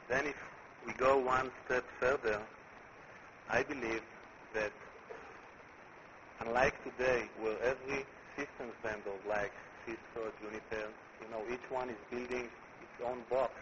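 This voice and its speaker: Man, Israeli accent, conference presenter, deliberate delivery, mid-pitched voice, hissy background. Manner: deliberate, repeats words while building points. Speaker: company CEO giving a conference talk. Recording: muffled recording with clear background noise.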